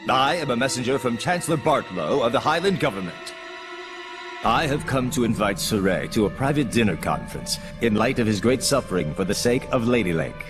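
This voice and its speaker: An actor playing a snide, formal and condescending government messenger in a fantasy game. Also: male voice, mid-range voice, expressive, fairly quick, lightly acted. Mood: smug, mocking. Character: snide, insinuating male messenger of a fantasy chancellor. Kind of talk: dubbed fantasy game acting. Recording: music behind the voice.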